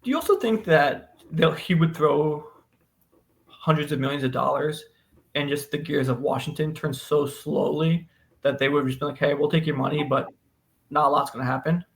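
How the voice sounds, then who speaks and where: Higher-voiced man debating a book. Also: moderate pace, gravelly. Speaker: podcast co-host discussing a book. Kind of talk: casual book discussion.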